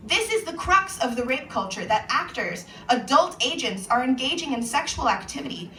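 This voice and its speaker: A woman with an American accent, an author reading her own monologue aloud at a live event in a measured, earnest way. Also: high voice, very animated, pushed and bright. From United States. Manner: measured, earnest spoken-word delivery. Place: event venue indoors with an audience. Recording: echoey room, voice far from the mic, faint noise.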